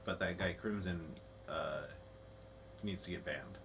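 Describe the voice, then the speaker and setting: A man's voice: sits low, a little slow, vocal fry, a bit bright, a little hiss or hum. A man co-hosting a podcast, chatting and telling playful stories about a game. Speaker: podcast co-host. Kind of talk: casual chat.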